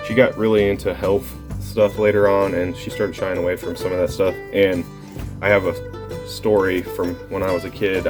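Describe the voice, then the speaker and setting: A man with a low-pitched voice hosts a family vlog. He talks casually, telling personal stories in an informal way. Guitar music plays clearly behind him. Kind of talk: casual chat and personal storytelling.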